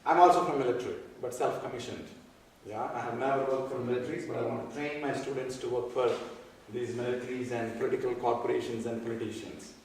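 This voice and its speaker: A man from Nepal giving a conference talk on social work, halting and full of fillers and repeated words. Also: mid-range voice, steady tone, slight background noise. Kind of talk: conference presentation. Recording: echoey hall, speaker far from mic.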